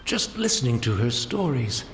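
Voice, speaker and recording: Courteous blind old man, lively intonation. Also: mid-range voice, normal speed, vocal fry, echoey room, music in the background. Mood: serene, reflective. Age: older. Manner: rambling, formal, deferential phrasing. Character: gentle blind old Japanese-styled teahouse keeper, serene and courteous. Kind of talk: video game voice acting.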